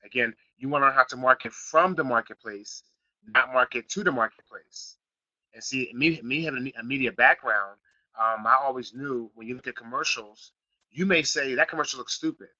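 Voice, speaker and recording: A middle-aged man from Philadelphia hosting a live online marketing class, slightly raspy from a sniffle, repeating himself and building to emphatic points. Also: mid-range voice, fairly quick, pushed and bright, no echo, lo-fi sound. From Philadelphia, Pennsylvania. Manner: repetitive, restarts phrases, builds to emphatic points. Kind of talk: live teleseminar class, casual coaching.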